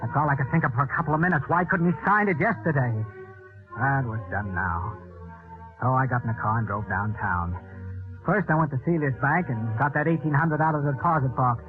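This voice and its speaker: A man's voice: medium pitch, a little fast, close to an everyday voice. A tinny vintage radio recording with prominent music carries a male actor as a desperate American gambler. He sounds like a jittery everyman of 1940s radio noir, clipped and evasive, with a guilty secret weighing on him. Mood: tense, brooding. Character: desperate, jittery male gambling insurance salesman hiding murder. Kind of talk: 1940s radio drama acting and first-person narration.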